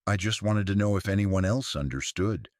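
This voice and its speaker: Man as a discreet, quietly probing woman visitor. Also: deep voice, expressive, moderate pace, dry recording, clean studio sound, voice pushed up.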